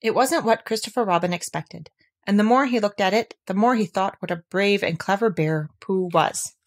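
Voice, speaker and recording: A woman narrates a children's storybook aloud. Her pitch is medium and her delivery is expressive, as storytelling for young listeners. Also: normal speed.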